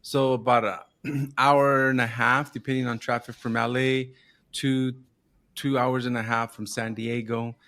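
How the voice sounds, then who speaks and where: A man's voice: mid-range voice, flat delivery, measured pace, fairly bright tone. A man from Southern California, a marketing business founder, talking informally as a podcast guest, often adding "right?" and "man". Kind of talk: casual interview chat.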